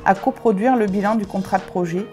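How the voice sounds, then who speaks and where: A woman reads a documentary voice-over in an even, measured way, at a middle pitch. Clear music plays beneath her words. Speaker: social centre staff narrator.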